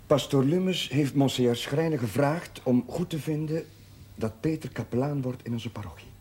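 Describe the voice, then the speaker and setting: An actor playing a dutiful, halting chaplain nearing forty in a television drama. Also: male voice, mid-range voice, a little mellow, husky, natural voice. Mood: polite concern. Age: late 30s. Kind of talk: naturalistic television drama acting. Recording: 1970s television audio with background noise.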